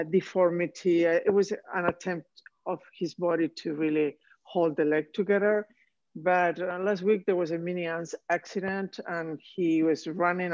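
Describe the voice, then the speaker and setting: A woman's voice: fairly low pitch, darkish tone, no echo. A woman from Argentina with an Argentine Spanish accent, a participant on a video call, speaking hesitantly with many fillers. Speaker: course participant. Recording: dull, dry video call audio.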